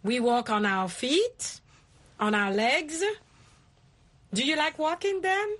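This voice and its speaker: Husky, higher-voiced woman teaching English. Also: big pitch swings, takes it slowly, fairly bright tone. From Kenya. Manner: playful, repeats and explains the guest's answers. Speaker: host teaching English vocabulary. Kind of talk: conversational language lesson.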